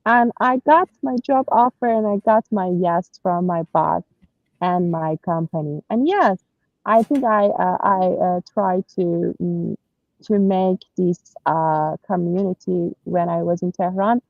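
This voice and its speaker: A woman's voice: lowish voice, unhurried, no room sound, highs cut off. A woman from Iran, speaking English with an Iranian accent, answering interview questions in an earnest, hesitant way with many fillers and restarts. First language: Persian. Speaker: guest. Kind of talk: conversational interview.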